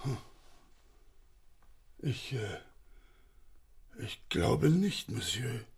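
Nervous servant, slow and gravelly. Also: male voice, medium pitch, very animated, darkish tone, full character voice. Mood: deferential. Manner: hesitant, deferential. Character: deferential nervous male servant of a baron.

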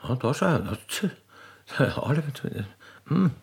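A man's voice: mid-range voice, very animated, slow, gravelly, voice pushed up, heavily acted. Man playing baffled, stammering Austrian noblemen, raised voice. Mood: bewildered. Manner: stammering, repeating himself. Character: baffled count and his dim, stammering son.

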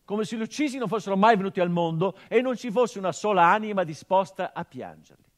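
A man's voice: lively intonation, fast. A grieving son remembering his murdered father. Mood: bitter. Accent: Italian. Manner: intense, builds to impassioned outbursts then drops low. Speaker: actor in a radio monologue. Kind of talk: theatrical monologue, radio drama.

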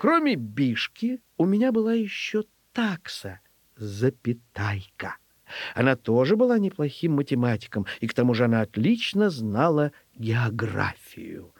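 A man reading a children's story in the first person with an expressive, theatrical delivery. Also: mid-range voice, unhurried, husky, dry recording. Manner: theatrical, animated. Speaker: narrator, reader of children's stories.

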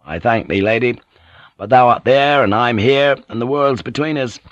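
A man's voice: mid-range voice, normal speed, no echo, muffled recording, lightly acted. Man playing a blunt, passionate male sailor, dry sound. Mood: probing. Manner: direct, insistent, at times archaic phrasing. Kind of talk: audiobook character dialogue.

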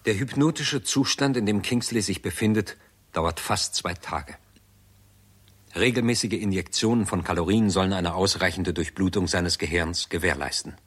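Deep, husky male radio-play narrator. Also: a bit bright. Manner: sober, measured reporting.

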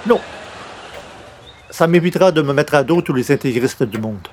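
A man's voice: fairly high pitch, lively intonation, normal speed, darkish tone, a little hiss or hum, close to an everyday voice. A man playing a grandiose, easily exasperated would-be novelist in theatrical old-time radio comedy style. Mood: dry. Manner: theatrical, pompous, emphatic. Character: grandiose, exasperated male newspaper columnist turned would-be novelist. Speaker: lead character of the comedy series.

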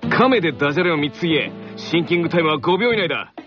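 A cocky, commanding teenage boy played by a man in a radio comedy skit. He talks down with dramatic, widely swinging pitch. Music plays clearly behind the muffled recording. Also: lively intonation, fast talker, close to an everyday voice. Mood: bossy. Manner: imperious, condescending, dramatic. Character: cocky, bossy teenage boy tennis ace, scornful. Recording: band-limited radio sound over loud music.

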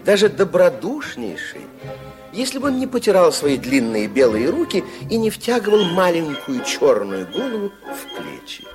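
A man narrating a fairy-tale radio play from the 1960s in an expressive, theatrical way. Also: sits high, moderate pace, over music. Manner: theatrical, storytelling.

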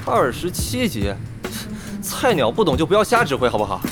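A fretful, out-of-his-depth young gamer in an audio drama. His high voice swoops dramatically, with music clearly behind it. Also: huge pitch swings, moderate pace. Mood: querulous. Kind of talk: Chinese audio drama acting.

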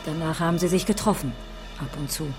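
Probing, low-pitched woman detective, played by an actress. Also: quick pace, over music, close to an everyday voice. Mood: polite, questioning. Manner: probing, businesslike. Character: probing, matter-of-fact female police detective. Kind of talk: naturalistic German radio crime drama acting.

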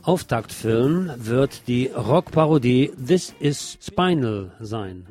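A man's voice: medium pitch, mellow. A man presenting scripted radio news, with brief asides dropped in between the items.

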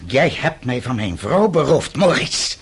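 An actor playing a bitter, defiant middle-aged exile in a radio play, sardonic and accusing. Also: male voice, mid-range voice, sing-song, a little slow, vocal fry, husky, exaggerated voice. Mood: angry, accusing. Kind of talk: Dutch radio play acting.

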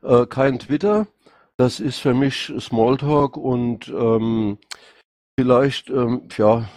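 A middle-aged man speaks with a Rhine-Hessian accent that mixes Hessian and Palatine. His pitch is average and his delivery is halting and colloquial, like a candidate talking off the cuff in an online voice chat. The sound is dry and close, without room echo. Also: a little mellow. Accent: Rhine-Hessian, mixing Hessian and Palatine. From Rhine-Hesse, Germany. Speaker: political candidate answering questions. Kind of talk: informal spontaneous self-presentation.

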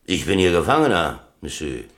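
An actor playing a wry, defiant, world-weary captain held in prison, in a radio drama, speaking in dry, terse phrases. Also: male voice, deep voice, very animated, moderate pace, a bit bright.

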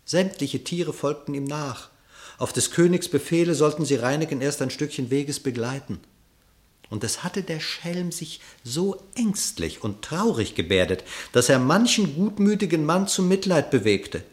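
A male narrator telling a verse tale for a radio play in a measured, expressive, dramatic storytelling style. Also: on the high side.

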